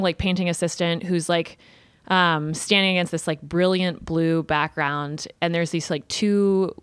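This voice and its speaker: Low-voiced woman chatting casually, dry studio sound. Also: clear, resonant tone, no echo. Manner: informal and opinionated, peppers speech with "like". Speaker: podcast co-host. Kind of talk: casual art chat.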